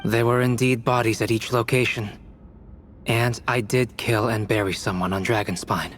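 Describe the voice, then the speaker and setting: A man voicing a calm, cerebral young alchemist in a fantasy video game, speaking with measured, formal politeness. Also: medium pitch, close to an everyday voice. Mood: composed. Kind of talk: dramatic video game voice acting. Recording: music behind the voice.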